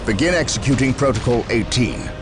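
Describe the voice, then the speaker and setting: An actor playing a stern veteran space marine commander in a video game, with formal, authoritative military diction. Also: male voice, medium pitch, animated, a little fast, music in the background, close to an everyday voice. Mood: commanding. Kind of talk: video game cutscene acting.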